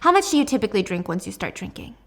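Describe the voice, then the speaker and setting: A woman's voice: medium pitch, very animated, rapid-fire, a bit bright. A woman voicing a businesslike psychiatric doctor in a story, asking probing questions in a clinical manner.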